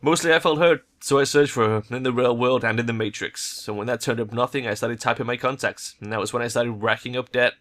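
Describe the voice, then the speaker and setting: A man's voice: mid-range voice, steady tone, fairly quick, few pauses, a bit bright, close to an everyday voice. A man voicing a hurt, heartbroken hacker in debt, a teammate in a cyberpunk game. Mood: hurt, frustrated.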